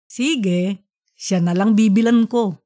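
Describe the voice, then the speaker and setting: A woman's voice: mid-range voice, takes it slowly, mellow, close to an everyday voice. Woman as family father, easygoing, teasing, colloquial, audiobook dialogue. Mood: playful, fond.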